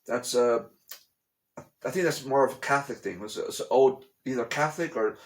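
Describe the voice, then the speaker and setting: A man's voice: medium pitch, normal speed. A man talking casually to his camera at home, rambling and repeating himself, bluntly dismissing conspiracy theories. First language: English. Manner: rambling, repeats phrases often. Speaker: vlogger. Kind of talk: casual explanatory monologue. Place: at home, room with a TV.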